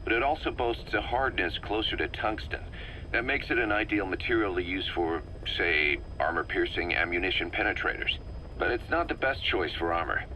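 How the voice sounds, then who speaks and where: An actor playing a serious military second-in-command in a video game, giving matter-of-fact explanatory briefings. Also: male voice, low voice, lively intonation, moderate pace, pushed and bright, slight background noise, rough recording. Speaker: military deputy briefing his boss.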